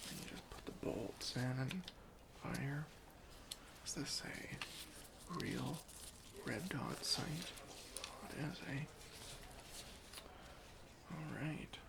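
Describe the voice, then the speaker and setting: A man whispering an ASMR roleplay as a deadpan airport security officer, breathy and deliberate, with pauses. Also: medium pitch, noisy background. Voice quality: breathy whisper with some fry. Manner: slow, hushed, deliberate, with pauses.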